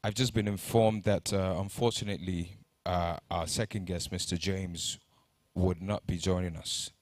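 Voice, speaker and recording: Guy mc'ing a conference, lowish voice, dry close mic, reads out bios. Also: steady tone, normal speed, no echo. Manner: reads bios, stumbles on names, jokes lightly. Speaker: conference emcee. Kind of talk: formal speaker introductions. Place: conference hall stage.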